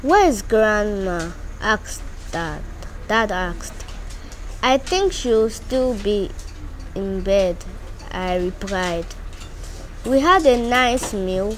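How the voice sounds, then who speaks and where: Woman reading a story, mid pitch, slow and halting, bit of background noise. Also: expressive. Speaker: reader. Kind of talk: reading a first-person story aloud.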